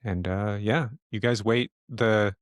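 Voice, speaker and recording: A man acting as game master, improvising casual narration of a tabletop game in halting phrases. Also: lowish voice, fairly even intonation, no room sound, clean studio sound.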